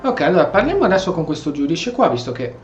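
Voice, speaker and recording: A man's voice: on the high side, sing-song, very fast, soft background music. Italian man, slightly dull mic, gaming commentary. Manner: informal, colloquial. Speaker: gamer commentator. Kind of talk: casual gameplay commentary, role-playing replies.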